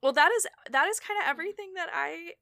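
A woman hosting a podcast, talking casually in an animated way with frequent restarts and exasperated asides. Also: very high voice, fast, vocal fry, no echo, studio quality. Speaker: podcast host. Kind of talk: casual conversation.

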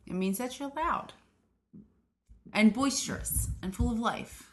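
A woman's voice: a little high, a little slow. A woman guesting on a podcast in casual banter, playful, laughing often and arguing cheekily.

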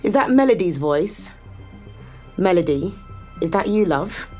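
A commanding woman in a science fiction audio drama. Her voice is on the low side and her delivery is direct and cutting, with faint music underneath. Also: animated, unhurried, warm tone. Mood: probing. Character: blunt, commanding woman seeking help. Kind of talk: sci-fi audio drama acting.

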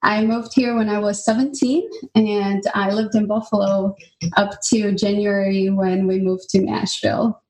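A woman's voice: medium pitch, little pitch movement, unhurried, darkish tone. A woman from Albania, chatting casually as a podcast guest with fillers and easy laughter. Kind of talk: casual interview chat. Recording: roomy, distant mic.

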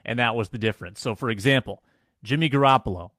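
A man, a sports talk show producer, calmly explaining statistics. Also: medium pitch, no room sound, clean studio sound. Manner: measured, explains stats. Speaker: producer and co-host.

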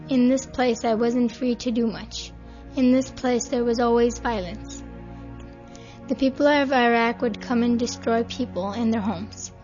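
Higher-voiced woman reading plainly. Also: darkish tone. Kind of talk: reading a poem aloud. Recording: slightly dull recording with faint music.

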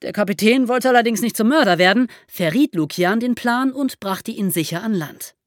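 A woman hosting a podcast, explaining history as lively storytelling in simple, conversational terms. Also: a little high, moderate pace, dry recording, clean studio sound. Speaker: podcast host telling history stories.

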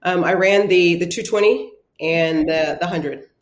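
A woman from Ghana answers interview questions thoughtfully, often pausing with an um. Her voice has a roomy, echoey sound, as if recorded remotely in a bare room. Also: lowish voice. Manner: thoughtful, many ums and restarts. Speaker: guest, sports psychologist.

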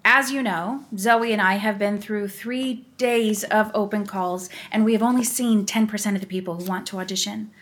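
A woman playing a brusque, imperious and exasperated casting director in a radio play, commanding and deadpan. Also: medium pitch, moderate pace, few pauses, natural voice. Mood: businesslike. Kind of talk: scripted radio play acting.

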